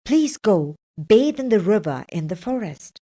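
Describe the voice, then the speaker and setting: A woman's voice: medium pitch, very animated, a little slow, mellow, slightly rough. A woman voicing a clever, persuasive talking cat in a fairytale storybook reading. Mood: urging. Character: clever, bold talking cat, servant to his master.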